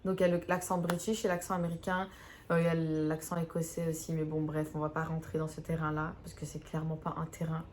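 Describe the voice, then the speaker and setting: A woman's voice: deep voice, monotone, fast talker, darkish tone, slight background noise. A young woman from Belgium, chatting casually to her camera, giggly and self-mocking. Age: young adult. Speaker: YouTuber.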